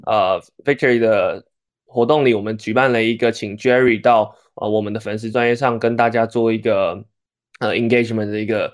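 A young man from Taiwan hosting a sports podcast, talking in a halting way with lots of fillers. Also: fairly low pitch, steady tone. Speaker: podcast host.

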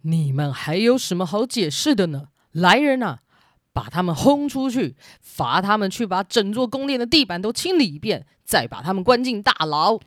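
A woman voicing a fairy-tale king with a formal, commanding, measured manner. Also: medium pitch, sing-song, no room sound, studio quality, lightly acted. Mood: angry, commanding. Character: stern but grateful old-tale king, male.

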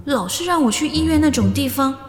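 Casting: soft-hearted young woman, evasive and pleading, very high voice, lively melody, modern radio romance. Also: fairly quick. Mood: hesitant, sulky. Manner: hesitant, coaxing.

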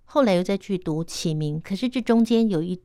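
A woman radio host with a fairly low voice and a Taiwanese Mandarin accent, recorded close and dry. She speaks conversationally, guiding an interview with animated follow-up questions. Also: fairly quick, dry recording.

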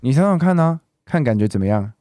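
A man's voice: medium pitch, big pitch swings, fast talker, mellow, no echo, clean studio sound, close to an everyday voice. A man playing an easygoing, playful young housemate. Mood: eager, generous. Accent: Taiwanese Mandarin. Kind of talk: radio drama acting.

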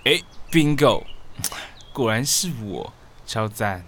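A man's voice: medium pitch, lively intonation, slow pace, fairly bright tone. A man acting a chatty young newcomer in an audio drama, muttering playfully to himself in Taiwanese Mandarin. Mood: musing. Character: chatty, wry young man new to the neighborhood. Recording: clear noise behind the voice.

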